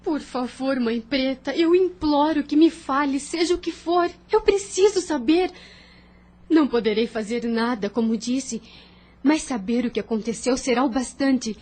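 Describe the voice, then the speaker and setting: A woman's voice: sits high, measured pace, warm tone. A Brazilian woman playing an anxious young lady of the house in an audio drama, speaking formally and insistently. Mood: pleading. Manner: formal, earnest pleading. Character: earnest young well-born mistress, anxious, insistent daughter.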